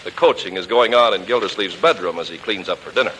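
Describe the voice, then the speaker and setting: Bright-voiced American 1940s radio announcer man. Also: sits low, fast. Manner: polished, emphatic. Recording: noisy 1940s radio recording.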